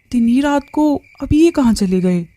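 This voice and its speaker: Woman storyteller as straightforward, earnest wife in a moral tale, mid-weight mellow voice. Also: fairly high pitch, lively intonation, measured pace, no echo, pitched above the performer's own voice. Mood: puzzled. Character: trusting wife and nurse, wronged and stern.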